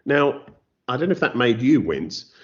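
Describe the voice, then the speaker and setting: A man's voice: medium pitch, big pitch swings, normal speed. A man with an English accent, a novelist being interviewed, who talks in a thoughtful, self-deprecating way with many restarts. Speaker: novelist guest. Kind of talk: interview conversation. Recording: slightly dull lo-fi audio.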